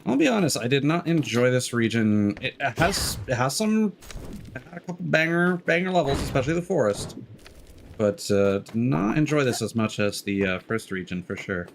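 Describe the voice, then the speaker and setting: A man's voice: mid-range voice, expressive, normal speed, gravelly, slight background noise. A man giving casual live gameplay commentary as a streamer, thinking aloud in loose, candid asides. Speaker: game streamer. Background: game music.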